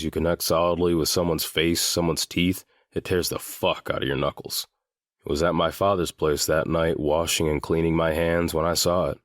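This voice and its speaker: Man playing a very deep, guarded teenage boy. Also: no room sound, studio quality. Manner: deliberate, matter-of-fact, swears now and then. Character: guarded seventeen-year-old boy recounting his hard past. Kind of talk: full-cast audiobook character acting.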